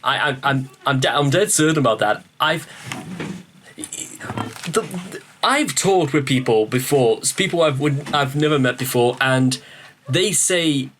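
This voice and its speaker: Man from northeast England, reaction host; mid-pitched, rambling, self-mocking, swears, stammers through restarts. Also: lively intonation, unhurried, a little hiss or hum. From Newcastle upon Tyne, northeast England. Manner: addresses viewers as ladies and gentlemen. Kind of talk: rambling casual chat to camera.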